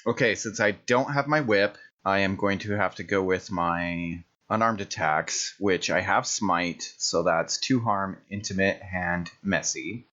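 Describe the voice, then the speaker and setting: A man's voice: low voice, unhurried, few pauses, sharp tone, muffled recording. A man playing a tabletop roleplaying game, talking through his moves and dice rolls in casual table talk.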